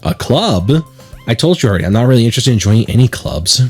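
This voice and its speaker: A man voicing a reluctant high school boy from a visual novel, dry and dismissive. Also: big pitch swings, fast talker, breathy edge, faint music behind. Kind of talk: visual novel character read-aloud.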